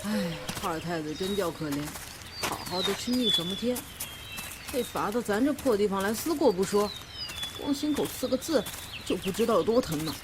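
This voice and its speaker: A woman playing a lowly servant gossips with pity in a Chinese audio drama. The voice has a middling pitch, under loud outdoor bird ambience. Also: lively intonation, normal speed, background noise, natural voice.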